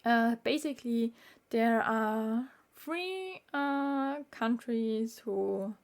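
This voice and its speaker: Slow, earnest woman. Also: fairly high pitch. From Austria. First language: German. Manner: earnest, halting with frequent fillers. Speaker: vlogger. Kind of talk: casual talk to camera.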